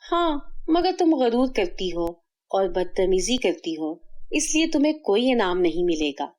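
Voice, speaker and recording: A gracious storybook fairy, played by a woman, with a lively, swooping intonation. She is wise and kindly, gently firm as she instructs. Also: mid-range voice, measured pace. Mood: firm, reproving. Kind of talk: acted children's fairy-tale dialogue.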